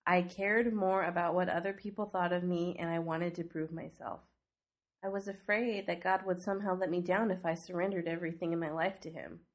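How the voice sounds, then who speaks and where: A congested woman with a snuffly nose and a fairly low voice, teaching on her devotional podcast. She talks earnestly and conversationally, asking her listeners questions as she goes. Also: steady tone, a little fast. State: congested from a cold. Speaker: podcast host.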